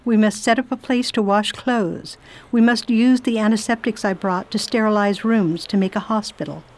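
A woman acting a determined American volunteer nurse in a radio play, with a brisk, firm and commanding way of talking. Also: medium pitch, steady tone, natural voice. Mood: resolute.

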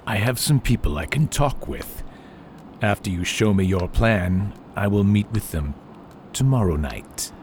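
A man's voice: on the low side, expressive, unhurried, darkish tone, slight background noise. An actor playing a pompous, power-hungry male senator in a radio drama, grandiose and blustering, as if always making a speech. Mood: wary, intrigued. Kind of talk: old-fashioned radio drama acting.

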